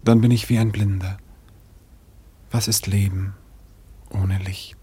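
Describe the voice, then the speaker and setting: An actor playing a proud, ardent seafaring trader prince in a German radio drama, speaking in a solemn, poetic, declamatory way with a husky voice. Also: male voice, fairly low pitch, little pitch movement, slow, dark tone, full character voice. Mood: ardent, intimate.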